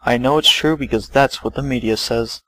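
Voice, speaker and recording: A man's voice: mid-range voice, moderate pace, sharp tone, dry recording, lightly acted, rough recording. A man voicing a prim, naive teenage schoolgirl intellectual, reasoning primly in an amateur parody reading. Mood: prim, insistent. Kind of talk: amateur dramatic reading of parody fan fiction.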